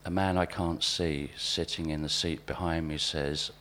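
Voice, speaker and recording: A man with a wry, deadpan manner giving a live reading of observational anecdotes at a spoken-word event. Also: extremely low pitch, unhurried. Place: live literary event venue. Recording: live event recording with background hum.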